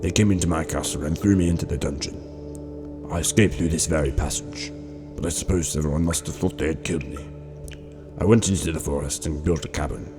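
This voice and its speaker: Man playing a very deep-voiced old exiled king. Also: fairly even intonation, music in the background. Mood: earnest, solemn. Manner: measured, formal, deliberate storytelling. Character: old exiled king, gentle wise grandfather. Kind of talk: amateur radio drama acting.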